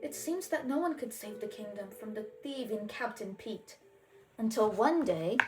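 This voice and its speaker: A woman's voice: mid-range voice, animated, normal speed, over music, rough recording. A woman narrating a children's storybook as a read-aloud. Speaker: storybook reader.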